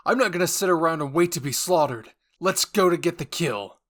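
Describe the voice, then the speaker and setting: A man voicing a brash, hot-headed young male squad leader who barks orders forcefully in an anime roleplay. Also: a little high, animated, fairly quick, voice pushed up. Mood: fierce. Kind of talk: anime fan roleplay voice acting.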